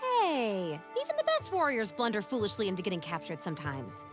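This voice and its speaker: A woman voicing a spirited, wry young heroine in a comedic animated game, plucky and dryly sarcastic. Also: mid-range voice, a little fast, music in the background. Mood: wry, upbeat. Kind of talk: animated video game cutscene acting.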